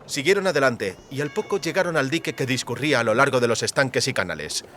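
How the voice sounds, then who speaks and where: A man reading scripted literary narration for an audiobook in a measured, descriptive manner. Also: mid-range voice, animated, fairly bright tone, a little hiss or hum.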